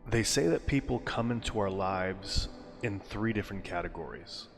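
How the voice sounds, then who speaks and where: Male meditation narrator, very low register, calming and unhurried, pausing between phrases, drenched in long reverb. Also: vocal fry. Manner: soothing, unhurried, deliberate phrasing with pauses. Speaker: hypnosis and meditation guide. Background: music.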